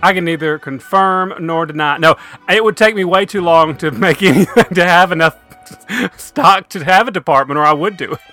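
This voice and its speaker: High, bright chatty man co-hosting. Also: moderate pace, soft background music. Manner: chatty, rambling, self-deprecating. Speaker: co-host. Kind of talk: comedic radio banter.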